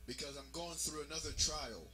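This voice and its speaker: A man's voice: a little high, normal speed, background noise. A man interpreting a sermon into English, repeating each phrase plainly with some hesitations. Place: church hall.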